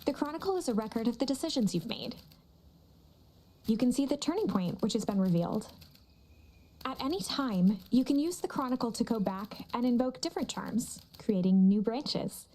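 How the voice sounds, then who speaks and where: A woman playing a dramatic, playful storybook narrator in a video game, with a coy, deliberate delivery. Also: fairly high pitch, animated, dark tone. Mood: playful, instructive. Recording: music under the voice. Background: guitar and instrumental music.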